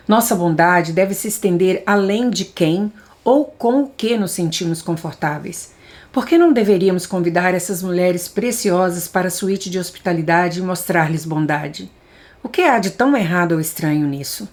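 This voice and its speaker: Brazilian woman reading aloud, lowish voice. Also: normal speed, a little mellow. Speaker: audiobook reader.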